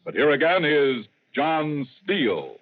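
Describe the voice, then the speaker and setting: A man's voice: fairly high pitch, very animated, takes it slowly. A man announcing credits formally in 1940s radio style. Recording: thin phone-like vintage radio recording.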